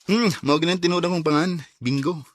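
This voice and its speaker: An actor playing a shy, smitten young man courting online in a radio drama, with a Cebuano Bisaya accent and a husky voice. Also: fairly high pitch, fast, no room sound, close to an everyday voice. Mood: eager, giddy.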